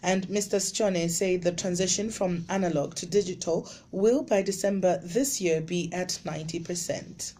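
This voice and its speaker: A woman from Zambia reading a radio news bulletin in a measured, formal way. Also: lowish voice, warm tone, a little hiss or hum. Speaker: radio newsreader.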